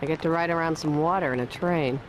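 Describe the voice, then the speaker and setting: A spirited reporter played by a woman with a fairly low voice. She sounds sardonic in a video game cutscene, with clear background noise. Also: fast, lightly acted.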